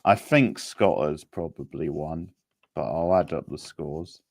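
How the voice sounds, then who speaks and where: Low voiced guy hosting an online quiz, takes his time, bit halting, really dry close sound. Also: gravelly, no room sound. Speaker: quiz host. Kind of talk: casual live-stream quiz hosting.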